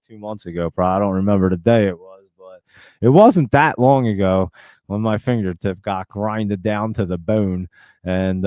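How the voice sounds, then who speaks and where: A man with a thick New Jersey accent hosts a live stream in a loose, rambling way, full of fillers and restarts. His voice sits fairly low and sounds smooth. The recording is dry and close, with no room echo. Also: a little mellow. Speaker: livestream host. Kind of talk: casual chat reading viewer comments.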